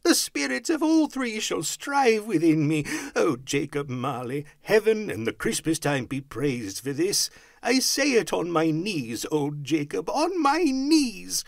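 Giddy old reformed miser from a storybook, really high voice, gushing and whooping, wildly up and down. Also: male voice, wildly swooping pitch, normal speed, hardly any pauses, husky, dry recording, studio quality, exaggerated voice. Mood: fervent gratitude. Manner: exclamatory, whooping, effusive.

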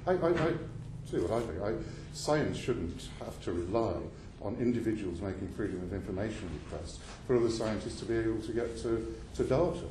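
A man's voice: fairly low pitch, lively intonation, a little fast, few pauses. A man questioning a witness at a parliamentary committee hearing, pressing and insistent, stumbling and restarting his sentences. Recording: roomy hall sound with faint noise.

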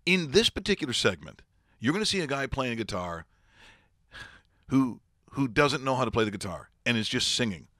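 A man in his fifties hosts with casual, mocking commentary. His delivery swings widely with incredulity, in a bright, forceful voice. He is close on the mic in a dry studio. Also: medium pitch, very animated, fairly quick, pauses often, no room sound. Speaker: show host. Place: small studio. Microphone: close broadcast microphone, headset monitors.

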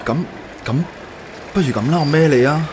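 A man's voice: a little high, moderate pace, background noise, lightly acted. A man acting a devoted, earnest and unsure young man in love in a radio drama, with a Hong Kong Cantonese accent. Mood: concerned, caring. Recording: roomy echo, music bed.

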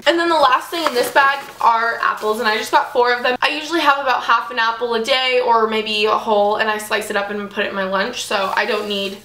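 Chatty bright young woman vlogging. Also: a little high, fairly even intonation, brisk, hardly any pauses, faint music behind. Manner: chatty, upbeat, lots of "like". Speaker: fitness vlogger. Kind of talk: casual grocery haul vlog. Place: small bedroom, indoors. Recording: echoey room.

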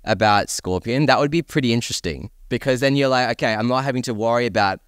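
A man's voice: mid-range voice, fast talker, clean tone, dry recording, studio quality. A man talking conversationally in a casual panel discussion, as a podcast panellist.

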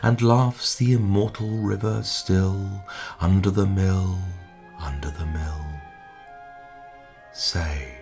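A man giving a dramatic poetry recitation in a measured, expressive way, with hushed line endings. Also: low-pitched, vocal fry. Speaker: poetry reader. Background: music.

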